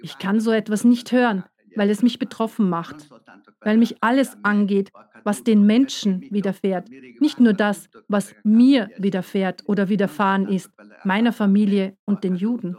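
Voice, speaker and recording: A woman giving a measured, earnest first-person voice-over for a documentary. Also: mid-range voice, a little mellow. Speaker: voice-over speaker.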